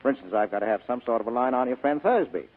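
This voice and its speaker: A man's voice: medium pitch, rapid-fire, close to an everyday voice. A man playing a wry, tough, cynical private detective in a 1940s radio drama, slangy and sardonic. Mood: cynical, probing. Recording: narrow, tinny old radio broadcast.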